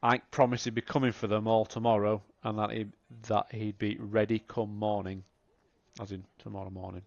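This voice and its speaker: A man running a tabletop game as game master, narrating in a relaxed way with lots of fillers. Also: on the low side, moderate pace, creaky, no echo, lo-fi sound.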